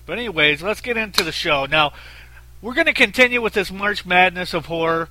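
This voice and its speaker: A man in his thirties hosts a solo podcast with a very nasal, bright, sharp-edged voice that sits a little high for a man. He talks bluntly, swears freely and rambles with lots of fillers. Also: lively intonation, fairly quick, low-quality recording. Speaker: podcast host. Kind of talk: casual solo podcast talk.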